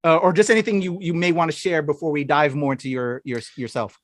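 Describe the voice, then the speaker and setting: A male podcast host asking halting questions and gushing with affirmations. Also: a little high, steady tone, no echo, studio quality. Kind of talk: casual podcast interview.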